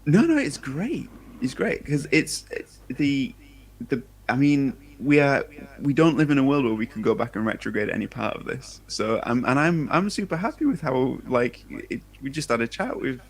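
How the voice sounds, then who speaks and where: A man's voice: mid-range voice, big pitch swings, moderate pace, breathy edge, poor audio. A man hosting a livestream over a video call, talking casually and loosely with lots of fillers and restarts. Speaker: author hosting a book launch livestream. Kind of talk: casual chat among friends.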